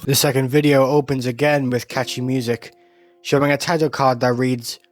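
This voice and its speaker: A man's voice: medium pitch, flat delivery, moderate pace. A man narrating a video essay in a scripted, descriptive storytelling style. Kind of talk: scripted narration, recapping an animated series. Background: music.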